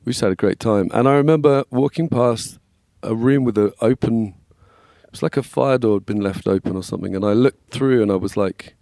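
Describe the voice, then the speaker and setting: English man, forties, scratchy voice, mellow dark tone, dry close sound. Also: lowish voice, fairly quick, vocal fry, no echo. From England. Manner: relaxed, storytelling, frequent "yeah, man". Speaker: guest, worship label manager. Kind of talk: casual conversation.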